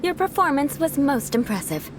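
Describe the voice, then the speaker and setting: A woman's voice: high voice, big pitch swings, moderate pace, background noise. A woman playing a proud, formal young noblewoman knight in an anime game, composed and imperious. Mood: composed, gracious. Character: proud, haughty young noblewoman knight, elegant, mock-vengeful. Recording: game music behind.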